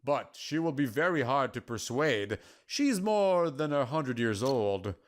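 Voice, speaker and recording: A man's voice: mid-range voice, sing-song. Man voicing a solemn, mystical prophecy keeper. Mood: gentle, solemn. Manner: measured, formal, archaic phrasing. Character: Lazarus, solemn mystical male prophecy keeper, patient and cryptic.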